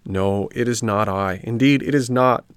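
A man's voice: on the low side, slow pace, gravelly, a bit bright, dry recording, studio quality, full character voice. A man voicing a tearful, remorseful boy puppet in a storybook, with a plaintive, pleading delivery. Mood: desperate protest.

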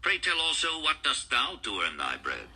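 A man voicing a wise, encouraging ancient teacher in a theatrical, archaic audiobook style, with a husky voice. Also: mid-range voice, huge pitch swings, normal speed, sharp tone, voice pushed up, exaggerated voice. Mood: lofty, questioning.

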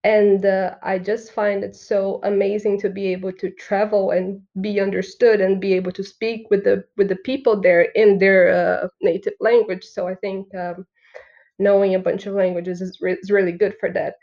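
A woman's voice: mid-range voice, flat delivery, normal speed, darkish tone, muffled recording. A young woman from Brazil, a Portuguese speaker, chatting casually as a student guest on a podcast. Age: young adult. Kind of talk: casual chat.

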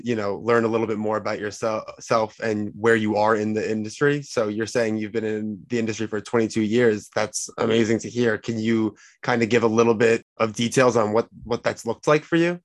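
A man's voice: lowish voice, steady tone, moderate pace, few pauses, no room sound. A man hosting a podcast, introducing guests and interviewing them. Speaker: podcast host.

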